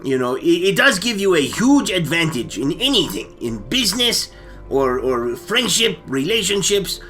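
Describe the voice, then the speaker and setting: A man's voice: high-pitched, sing-song, normal speed, sharp tone, soft background music. A man co-hosting a comic movie chat, hesitant and stammering, often repeating his words. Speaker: comedy storytelling co-host. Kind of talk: casual comic movie discussion.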